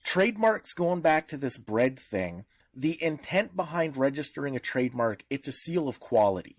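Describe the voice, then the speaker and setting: A man with a mid-pitched voice talks informally on a podcast panel. He explains step by step, teaching through examples. The sound is close and dry, with very little room. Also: sing-song, normal speed, dry recording.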